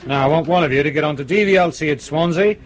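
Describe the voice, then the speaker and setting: An actor playing a patient, probing British police superintendent in a radio crime drama, with measured, courteous questioning. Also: male voice, on the high side, lightly acted. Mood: blunt, brisk.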